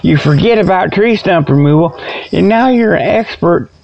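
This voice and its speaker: A man's voice: high-pitched, expressive, normal speed, vocal fry, a little mellow, highs cut off. A man hosting a comedy show with a rambling, tongue-in-cheek monologue, folksy and colloquial, drifting off on tangents. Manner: folksy, colloquial grammar, digressive.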